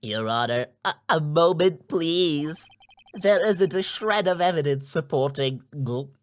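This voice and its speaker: A man voicing a flustered, haughty older male prosecutor in a video game, halting and formal. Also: a little high, animated. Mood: protesting. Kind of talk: video game character dub.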